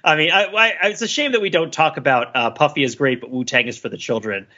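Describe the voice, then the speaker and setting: A man co-hosting a podcast, chatting casually in an animated, joking way with frequent digressions. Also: fairly high pitch, fast, lo-fi sound. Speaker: podcast co-host. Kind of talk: casual chat.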